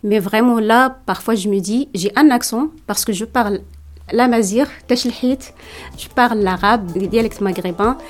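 Hesitant woman, higher voice. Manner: hesitant, many pauses and fillers. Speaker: guest. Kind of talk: radio interview.

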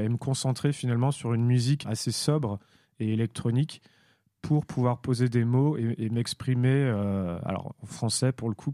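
A man with a mellow, warm tone speaks as a musician being interviewed. He is thoughtful and a little hesitant, often pausing to search for words. The recording is close and dry. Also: mid-range voice, no room sound. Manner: thoughtful, hesitant with many fillers. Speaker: musician guest. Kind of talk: radio interview answers.

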